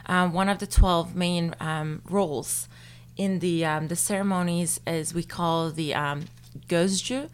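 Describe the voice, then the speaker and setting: Young woman from Turkey, Turkish first language, lowish voice, thoughtful and chatty interviewee. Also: fairly even intonation, measured pace, clear, resonant tone. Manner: thoughtful, with frequent fillers and light laughs. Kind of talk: casual interview.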